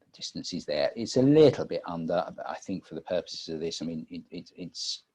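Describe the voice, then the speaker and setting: A British man giving an unscripted technical demonstration as a webinar presenter, halting often with repeated words and filled pauses. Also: deep voice, sing-song, mellow, husky. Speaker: webinar presenter and audio engineer.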